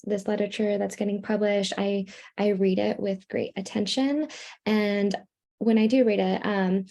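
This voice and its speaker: A woman with a gravelly, creaky voice renders an author's answer in English over a video call. Her tone is dark and mellow, and her delivery is steady. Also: mid-range voice. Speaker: interpreter.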